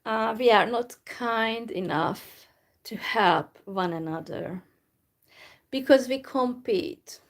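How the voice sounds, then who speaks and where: A woman from Hungary with a Hungarian accent, talking in a live video monologue in a downcast, resigned way, full of hesitant pauses. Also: a little high. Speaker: YouTuber.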